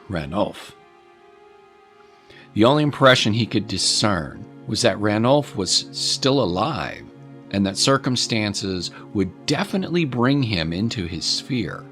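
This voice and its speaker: A man narrating a scripted story in a measured, literary storytelling manner. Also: fairly low pitch, fairly bright tone.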